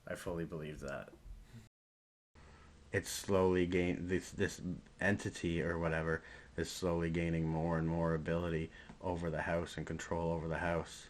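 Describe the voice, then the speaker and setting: Deep-voiced man chatting enthusiastically. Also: little pitch movement, moderate pace. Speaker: podcast co-host. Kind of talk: casual film discussion.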